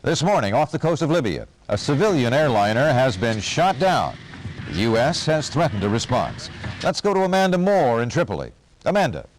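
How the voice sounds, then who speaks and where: Animated, serious TV news anchor man acting. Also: medium pitch, moderate pace, slight background noise, natural voice. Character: brisk, serious male television news anchor. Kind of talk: television news reading.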